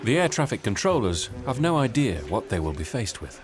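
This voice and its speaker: Lively male documentary narrator. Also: medium pitch, over music. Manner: clear, measured. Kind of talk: scripted narration.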